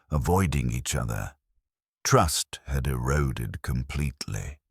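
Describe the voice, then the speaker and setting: A man giving a scripted first-person dramatic story narration in a somber, measured, grave manner. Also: extremely low pitch, no room sound, studio quality.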